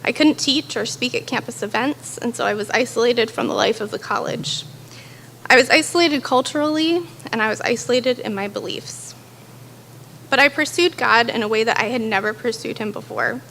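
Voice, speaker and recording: A woman's voice: fairly high pitch, normal speed, a bit bright, a little hiss or hum. A young woman giving a prepared personal faith testimony, earnest and building to emphatic declarations. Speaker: college staff member giving testimony.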